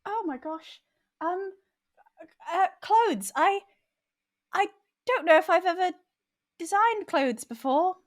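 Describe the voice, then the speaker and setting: Woman, tabletop player, hesitant, stammering, high lively voice. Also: slow pace, pauses often. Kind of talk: playing a tabletop game.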